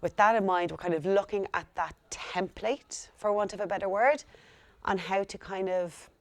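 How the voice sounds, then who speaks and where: A young woman working as a school liaison coordinator, explaining her work in a steady, earnest way. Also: medium pitch, normal speed, dry recording. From Ireland. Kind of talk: speaking to camera about her work.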